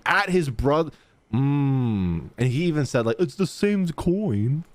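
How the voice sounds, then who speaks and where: Very animated woman game streamer. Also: very deep voice, measured pace, slight background noise. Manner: expressive, exclamatory, dramatic. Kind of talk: casual reaction commentary on a game stream.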